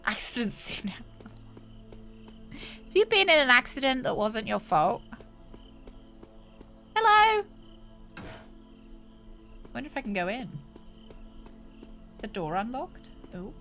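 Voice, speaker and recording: A woman's voice: on the high side, big pitch swings, slow, long pauses, pushed and bright, soft background music. A woman streamer with a congested, snotty voice, chatting and apologizing cheerfully while she plays a game. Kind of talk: casual gameplay commentary.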